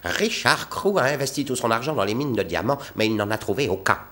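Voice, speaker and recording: A man's voice: mid-range voice, lively intonation, brisk, close to an everyday voice. A man playing a formal, grave and unsentimental notary in a French cartoon dub. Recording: loud music behind the voice.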